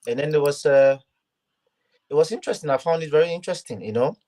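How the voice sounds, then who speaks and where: A man's voice: on the high side, warm tone. A young man from Ghana, talking earnestly as a guest in a casual interview, with frequent restarts. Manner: earnest, halting, many restarts.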